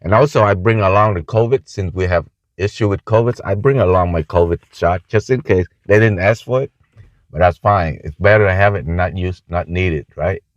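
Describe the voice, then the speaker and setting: The recording is a little dull and muffled, made indoors. A man with a low voice and a Vietnamese accent talks informally to camera. He explains things haltingly, like a casual travel vlogger. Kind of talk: casual talking-head video. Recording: muffled, echoey room sound.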